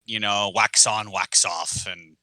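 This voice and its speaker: A man co-hosting a casual podcast, chatting in a relaxed way with lots of fillers. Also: on the low side, slow, no echo. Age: adult with kids. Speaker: podcast co-host, martial arts teacher.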